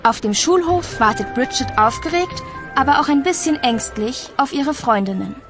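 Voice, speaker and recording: A woman playing a lively, conspiratorial storyteller, narrating expressively for child listeners in an audio drama. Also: sits high, normal speed, music in the background. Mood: storytelling.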